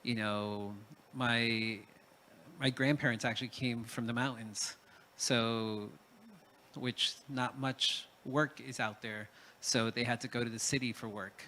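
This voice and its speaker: A man's voice: medium pitch, steady tone, takes it slowly, pushed and bright, a little hiss or hum, rough recording. A man from Peru speaking as a panelist in a reflective talk, hesitant, with many fillers and restarts.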